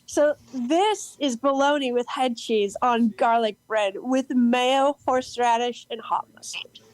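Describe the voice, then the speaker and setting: Woman acting quirky cheerful woman, high voice, halting then emphatic, amateur audio play, very dry sound. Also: no echo, lightly acted, low-quality recording. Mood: enthusiastic. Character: eccentric, cheerful woman proud of odd sandwiches and games.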